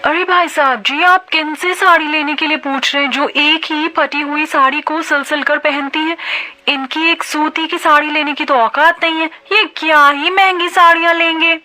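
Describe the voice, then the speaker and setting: A woman's voice: high-pitched, steady tone, moderate pace, bright, forceful tone. A woman playing a snobbish, mocking neighbour with a sneering, taunting delivery. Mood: scornful.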